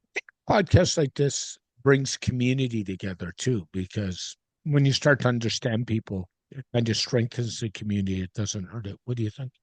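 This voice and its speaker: A man's voice: medium pitch, normal speed, darkish tone, no echo. A man hosting a podcast, friendly and agreeable in easy conversation. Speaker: podcast host. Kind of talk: casual interview chat.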